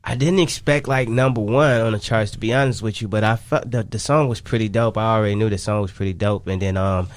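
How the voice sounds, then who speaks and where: A man's voice: lowish voice, steady tone, fast, few pauses. A man chatting casually as a music artist guest in a radio interview, easygoing and slangy. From Chicago, USA. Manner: easygoing, slangy, repeats "kinda like".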